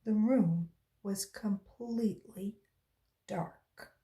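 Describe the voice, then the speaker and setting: A woman reading a children's story aloud in an expressive, deliberate storytelling way. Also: mid-range voice. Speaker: volunteer storybook reader.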